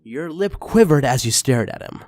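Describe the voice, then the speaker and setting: A man narrating a fan fiction story aloud in a steady, expressive reading voice. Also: on the high side, normal speed, dry recording, soft background music.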